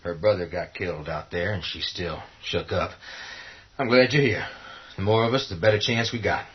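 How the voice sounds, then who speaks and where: An actor playing a tough, hot-tempered, scornful man in a horror radio drama, with gruff delivery. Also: deep voice, normal speed, muffled recording, lightly acted. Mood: subdued, steady. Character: tough, hot-tempered, foul-mouthed man defending his territory.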